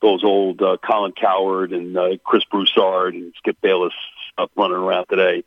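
Male sports announcer guest, deep voice, blunt and opinionated, heard over a dry phone line. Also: level tone, moderate pace, no echo. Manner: blunt, opinionated, frequent "uh" and "you know". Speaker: basketball play-by-play announcer guest. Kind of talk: radio sports interview, analytical.

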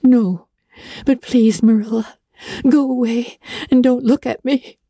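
A woman voicing a dramatic, imaginative orphan girl who speaks high, breathless and tearful, often dropping to a whisper. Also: fairly even intonation, takes it slowly, dark tone, no room sound, clean studio sound. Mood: despairing. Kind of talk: audiobook character reading.

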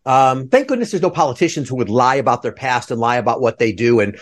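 A man's voice: medium pitch, expressive, fast. A man hosting a podcast interview with enthusiasm and joking asides. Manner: jokey asides. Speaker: podcast host.

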